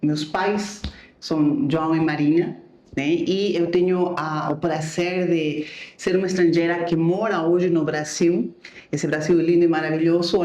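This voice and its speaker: A woman's voice: deep voice, heavy reverb, recorded from a distance. A woman from Bolivia who speaks Portuguese with a Spanish accent, telling her story as a podcast guest with many "né" and "eh" fillers. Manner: warm storytelling, frequent "né" and "eh" fillers. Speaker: guest, leadership mentor. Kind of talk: podcast interview.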